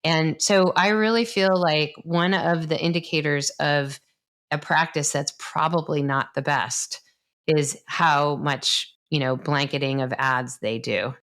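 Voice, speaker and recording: A woman's voice: deep voice, fairly even intonation, unhurried, fairly bright tone. A woman in her fifties, a doctor, talking casually and enthusiastically, explaining science plainly and laughing often. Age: 50s. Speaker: doctor, podcast host. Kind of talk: casual conversation.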